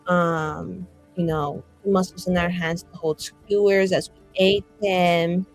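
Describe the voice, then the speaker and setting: A woman voicing a coy, evasive mage with a wry, drawn-out way of answering, in a tabletop roleplay. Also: on the low side, fairly even intonation, low-quality recording. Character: evasive, coy, gifted female mage playwright. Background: music.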